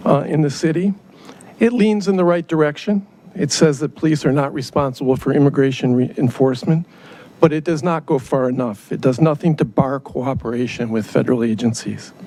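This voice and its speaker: Male resident at the council podium, mid-pitched, earnest and measured, faint room noise. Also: darkish tone, rough recording. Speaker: public commenter. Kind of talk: prepared public comment. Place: council chamber.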